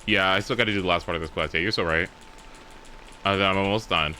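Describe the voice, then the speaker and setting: A man's voice: sits low, quick pace, pushed and bright, a little hiss or hum. A young adult man chatting casually on a game livestream, rambling informally and swearing freely. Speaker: live streamer. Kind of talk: casual livestream chat while playing a game.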